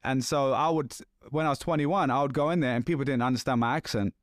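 A man in his thirties with a London accent, hosting a casual podcast chat in a chatty, animated way with loose slang. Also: mid-range voice, fast, no echo, clean studio sound. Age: 30s. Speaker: podcast host.

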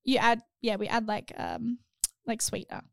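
A woman's voice: on the high side, steady tone, moderate pace, creaky, no echo, studio quality. A young woman co-hosting a podcast, chatting eagerly and leaning on filler words. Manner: chatty, many "like" fillers, enthusiastic. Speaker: podcast co-host. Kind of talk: casual chat.

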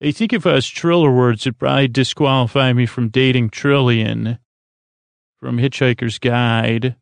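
An American man hosting a bedtime sleep podcast in a creaky, lulling tone, rambling and halting as he stumbles over his words with plenty of fillers. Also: medium pitch, steady tone, dry recording. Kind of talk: rambling bedtime monologue meant to lull listeners to sleep.

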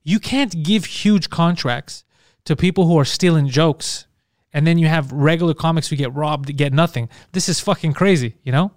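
A man from Montreal tells stories in a loose podcast chat, animated and laughing, with plenty of swearing. His voice sits a little high and comes through smooth and resonant, recorded close and dry. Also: normal speed, no room sound. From Montreal, Canada. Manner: animated storyteller, swears freely, laughs often. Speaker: comedian guest.

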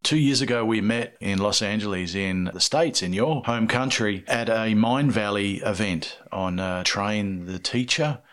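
A male podcast host giving a steady, earnest, welcoming scripted introduction and then chatting with his guest. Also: fairly low pitch, a little slow, hardly any pauses.

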